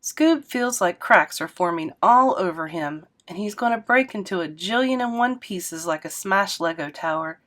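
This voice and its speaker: A woman reading a middle-grade novel aloud, narrating with expressive lifts for emphasis. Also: on the low side, moderate pace, few pauses. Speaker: read-aloud host.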